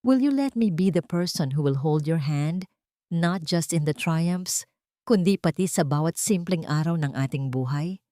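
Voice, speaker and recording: A woman's voice: deep voice, lively intonation, measured pace, warm tone, no echo, clean studio sound. A woman voicing a devoted man in love, speaking earnestly and tenderly in a narrated romance drama. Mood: earnest, loving.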